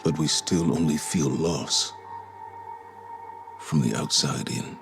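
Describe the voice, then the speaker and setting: Game role: grave veteran leader, rumbling bass with heavy creak, halting, restrained sorrow, stoic. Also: male voice, music in the background, full character voice. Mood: restrained grief. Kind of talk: dramatic video game monologue.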